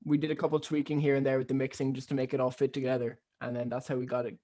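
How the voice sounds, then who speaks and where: A young man from Ireland with a softened Cork accent leaning American, rambling casually through an interview with lots of fillers and restarts. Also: medium pitch, flat delivery, racing. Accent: neutral Cork Irish with American influence. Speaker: musician guest.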